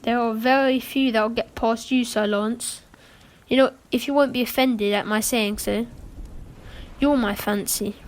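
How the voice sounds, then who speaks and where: A woman acting a cool, wry gentleman with a teasing dark humour in a radio play. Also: fairly high pitch, steady tone, moderate pace, natural voice, rough recording. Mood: wry, probing.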